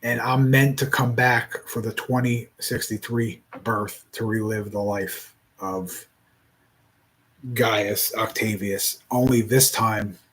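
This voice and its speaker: A man in his forties speaking in a casual reflective monologue, rambling and halting with many restarts. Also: fairly low pitch, steady tone, a bit bright, low-quality recording. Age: 40s.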